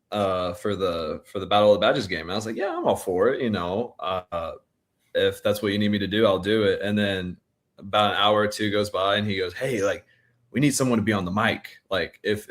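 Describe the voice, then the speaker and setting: A man in his twenties answering interview questions as a baseball pitcher in a casual, laid-back, colloquial way. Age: 20s.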